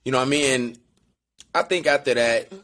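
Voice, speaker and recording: Hoarse, raspy man, laid-back. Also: mid-range voice, brisk, fairly bright tone. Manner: laid-back, slangy, rambling. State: hoarse from yelling. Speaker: podcast co-host. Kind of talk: casual sports chat. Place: away from home, remote call.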